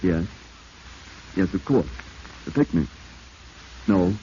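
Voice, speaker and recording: A very deep male voice plays a corrupt, desperate lawman in 1940s radio drama. He is domineering and scheming, with clipped, commanding delivery and a dark tone on a muffled, hissy recording. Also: lively intonation, slow pace. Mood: controlled, scheming.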